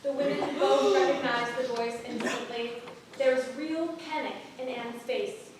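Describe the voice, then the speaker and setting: A woman's voice: high voice, moderate pace, close to an everyday voice. A woman narrator reading scripted stage directions aloud at a staged play reading. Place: stage in a theatre. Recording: echoing theatre, distant mic, faint noise.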